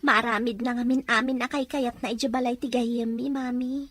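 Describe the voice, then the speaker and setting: A woman's voice: fairly high pitch, fairly even intonation, moderate pace, fairly bright tone, dry recording. A woman playing a defiant, plaintive young woman in a domestic drama. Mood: apologetic. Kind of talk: Ilocano drama acting.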